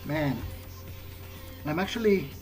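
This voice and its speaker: A man playing a bewildered, newly turned vampire in a video game, musing earnestly to himself in halting, hesitant phrases. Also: a little high, expressive, darkish tone, soft background music. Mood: pensive, unsure. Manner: halting, hesitant, searching for words. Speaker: video game player speaking in character. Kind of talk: in-character thinking aloud during a playthrough.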